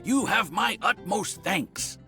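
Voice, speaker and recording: A man's voice: high-pitched, expressive, slow pace, full character voice. An actor playing a jovial, grateful male house owner in a video game, speaking in an effusive, genteel manner. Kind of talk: video game character acting. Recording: faint music behind the voice. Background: faint game music.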